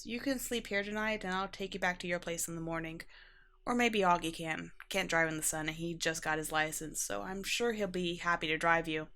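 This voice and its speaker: A woman voicing a caring but slightly awkward female vampire in a soft-spoken ASMR roleplay. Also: fairly low pitch.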